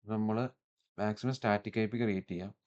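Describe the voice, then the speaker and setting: A man with a Malayali accent presenting a step-by-step software tutorial in an instructional manner, mixing in English technical terms. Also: lowish voice, fairly even intonation, fast talker, highs cut off.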